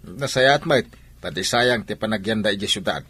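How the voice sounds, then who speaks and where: A man plays a stubborn, complaining shop owner in an Ilocano radio drama. His Filipino voice is a little husky, and his pitch swings widely as he grumbles to his mother. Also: expressive, fairly quick, pushed and bright, full character voice. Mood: calm.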